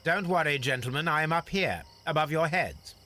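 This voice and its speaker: A man playing a calm, confident Victorian gentleman detective in a video game, with a British accent and a precise, formal manner. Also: a little high, moderate pace, slight background noise. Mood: brisk, assured. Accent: British English.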